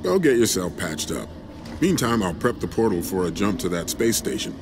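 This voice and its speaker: A man's voice: low voice, very animated, noisy background. A man playing a tough, no-nonsense special forces commander in a game cutscene. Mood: brisk. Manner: brisk, no-nonsense.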